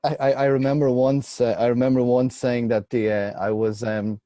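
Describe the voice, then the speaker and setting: A man from Portugal hosting a casual interview, chatty and quick to laugh, often repeating his words. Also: medium pitch, level tone, warm tone, dry recording, clean studio sound. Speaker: podcast host.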